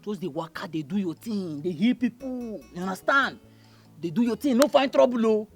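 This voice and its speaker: Male actor as a husky, gravelly Nigerian Pidgin villager with wide swings in his voice. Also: high-pitched, expressive, normal speed, heavily acted. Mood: coaxing. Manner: gravelly, husky, swings between anger and coaxing. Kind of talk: Nollywood drama acting. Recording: film audio with faint music behind.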